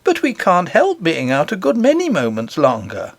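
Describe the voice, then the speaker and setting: An excited little princess, chattering away in a high, breathless voice and firing off eager questions, as a man puts her on. Her voice leaps up and down with lively swings of pitch. It is a storybook reading in a dry, close sound. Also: normal speed, dry recording, full character voice.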